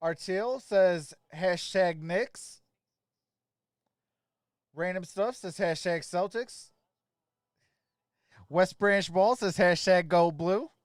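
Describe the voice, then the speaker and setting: A man's voice: sits high, takes it slowly, long pauses, no room sound, clean studio sound. A man hosting a live sports stream, calling plays casually and chatting informally with viewers. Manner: informal, addressing viewers by name. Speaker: livestream host doing play-by-play.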